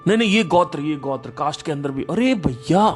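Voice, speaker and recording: A man hosting a podcast, giving a casual spiritual talk in an emphatic, animated storytelling manner. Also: on the high side, fairly quick, soft background music. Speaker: podcast host.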